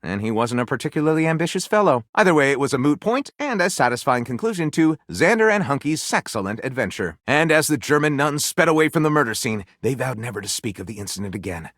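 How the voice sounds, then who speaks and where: A man's voice: medium pitch, sing-song, quick pace, hardly any pauses, fairly bright tone, no echo, studio quality. A man voicing a theatrical, wry storyteller and snide critic in a video game, mock-grandiose and sardonic, as if narrating a storybook. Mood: mock-dramatic narration. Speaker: voice actor.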